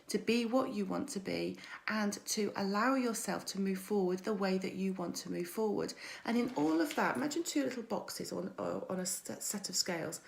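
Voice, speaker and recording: A woman mindset coach with a croaky voice, hosting a chatty weekly livestream and greeting viewers by name. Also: medium pitch, a little mellow. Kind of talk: weekly social media livestream.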